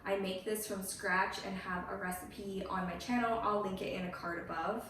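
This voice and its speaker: A woman with a slight cold and a hoarse voice, chatting through a home cooking tutorial step by step in her kitchen. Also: mid-range voice, fairly even intonation, normal speed, a bit bright, slight background noise. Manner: chatty, explains steps plainly. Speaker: cooking channel host. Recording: voice a little far from the mic.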